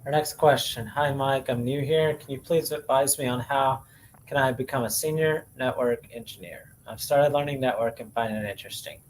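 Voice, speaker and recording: A man's voice: medium pitch, moderate pace, a little hiss or hum, low-quality recording. A man with a Mississippi accent, co-hosting a live stream and reading out viewer questions.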